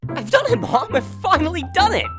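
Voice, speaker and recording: An actor playing an excitable, impatient teenage boy in an audio play, exclaiming exuberantly. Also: very high-pitched, a little fast, over music. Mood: elated.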